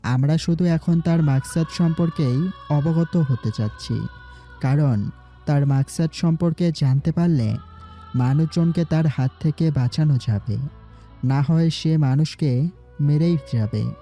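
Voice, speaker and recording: A man's voice: fairly high pitch, a little slow, warm tone. A man voicing a commanding young city boss in an audio drama, giving firm orders. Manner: commanding, gives orders firmly. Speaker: voice actor. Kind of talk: Bengali audio drama acting. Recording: faint background music.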